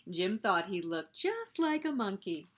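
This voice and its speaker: A woman hosting a story time, reading a picture book aloud in an expressive, animated way meant for young children. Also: medium pitch, measured pace, slight background noise.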